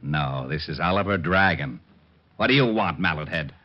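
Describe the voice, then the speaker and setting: Gruff American cop boss, very low voice, harried, old radio drama, dull recording. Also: male voice, lively intonation, moderate pace. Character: beleaguered, gruff male police lieutenant. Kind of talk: 1940s radio drama acting.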